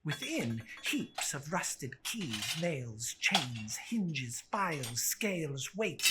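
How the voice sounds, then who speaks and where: A woman giving a dramatic literary narration, theatrical and deliberate in her emphasis. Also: low voice, sharp tone, a little hiss or hum. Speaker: storyteller.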